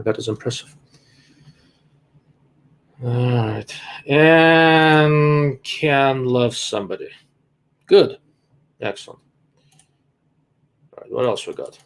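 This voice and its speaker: A man with a gravelly, creaky voice at an ordinary male pitch, hosting a casual live stream. He answers viewer questions bluntly and in his own time, pausing often with "uh" as he thinks aloud. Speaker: livestream host answering viewer chat.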